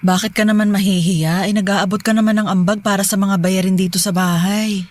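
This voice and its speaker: A woman playing a gentle, supportive young mother in a Filipino radio drama, with a hesitant manner. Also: medium pitch, fairly even intonation, fairly quick, dry recording, natural voice. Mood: reassuring.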